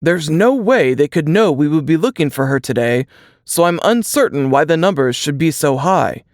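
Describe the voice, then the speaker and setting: A narrator voicing a brisk, businesslike mission-control dispatcher with crisp military radio phrasing in a suspense audiobook. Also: mid-range voice, animated, a little mellow, no room sound, studio quality, natural voice. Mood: puzzled, businesslike.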